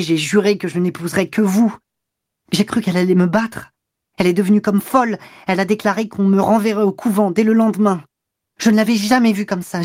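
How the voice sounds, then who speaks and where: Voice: medium pitch, darkish tone, no room sound, studio quality, voice pushed up, close to an everyday voice. A reader voicing a young woman who tells of running away, breathless and emotional. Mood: distraught. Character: young woman eloping, excited and upset. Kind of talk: audiobook character reading.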